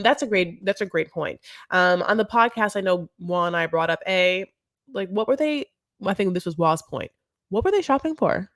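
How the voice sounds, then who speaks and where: A woman's voice: medium pitch, fairly bright tone, no echo, clean studio sound. A woman hosting a casual live recap chat, rambling and campy with lots of asides. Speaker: show recap host.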